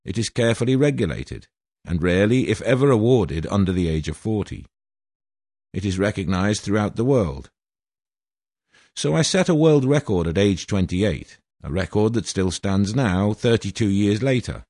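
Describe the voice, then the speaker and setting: A man's voice: on the low side, expressive, normal speed, a little mellow, no room sound, clean studio sound. A man in his sixties from Wales reading a formal, aggrieved statement in a grandiose manner. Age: 60s. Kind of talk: prepared statement read aloud.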